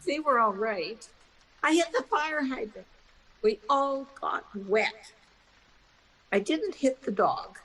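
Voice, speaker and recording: An older woman playing a reflective character who tells her story in an audio play, measured and deliberate. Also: sits high, expressive, a bit bright, soft background music, exaggerated voice, low-quality recording. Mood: resolute, confiding.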